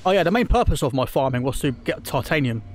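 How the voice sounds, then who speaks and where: A man's voice: mid-range voice, lively intonation, fast, faint music behind. A man giving casual let's-play commentary, rambling with lots of ums and restarts, recovering from a throat infection. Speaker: gaming YouTuber.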